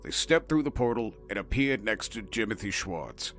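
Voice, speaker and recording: A man's voice: lowish voice, lively intonation, normal speed, a bit bright. Man narrating a clinical report, faint music.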